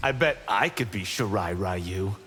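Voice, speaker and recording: An actor playing a cocky, brash young martial-arts monk trading boastful, teasing banter in a video game. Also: male voice, lowish voice, animated, measured pace. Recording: reverberant game audio with background noise.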